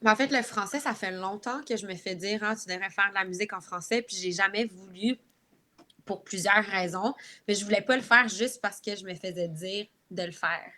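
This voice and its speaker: A woman's voice: medium pitch, a little fast, bright, forceful tone, a little hiss or hum. A woman with a Quebec French accent, chatting casually as a guest singer in an interview.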